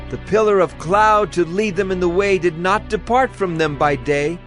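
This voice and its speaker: A man's voice: high-pitched, normal speed, fairly bright tone. A man playing a devout Levite in a dramatized scripture reading, exalting God in solemn public prayer with fervent, chant-like declaiming. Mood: reverent, grateful. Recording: music bed under the voice.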